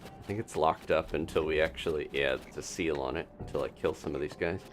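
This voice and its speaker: A man's voice: a bass voice, fairly quick. A man giving casual live gameplay commentary as a streamer, thinking aloud as he plays. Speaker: game streamer, host.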